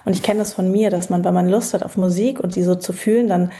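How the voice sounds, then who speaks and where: A woman in her thirties from Berlin, a guest talking in a relaxed, reflective way with many pauses and fillers. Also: lowish voice. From Berlin, Germany. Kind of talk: casual interview chat.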